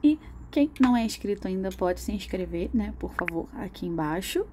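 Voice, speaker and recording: Young woman, a bit hoarse, easygoing rambling craft host, mid-pitched, faint background hiss. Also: lively intonation, normal speed. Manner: chatty, rambling, with laughs and restarts. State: slightly hoarse from a cold. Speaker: art channel host. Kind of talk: casual chat demonstrating coloring.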